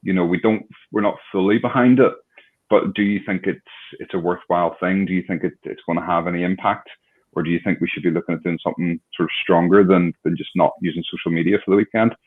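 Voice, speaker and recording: A man's voice: low voice, fairly even intonation, quick pace. A man hosting an online fan talk show, chatting casually and rambling a little, with frequent restarts. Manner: friendly, rambling, restarts often. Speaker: host. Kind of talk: casual chat. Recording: tinny phone-like line.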